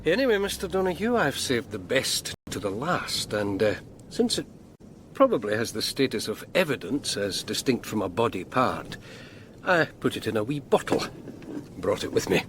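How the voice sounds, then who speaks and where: An actor playing a genial Scottish pathologist in a radio drama, dryly humorous, with a hesitant, measured delivery. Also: male voice, mid-range voice, sing-song, noisy background, lightly acted. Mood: dry, grave. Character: chatty, genial male pathologist reporting findings.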